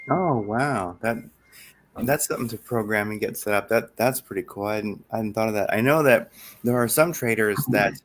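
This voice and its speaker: A man's voice: on the low side, normal speed. A man in a casual conversation about trading, speaking hesitantly with many ums and restarts.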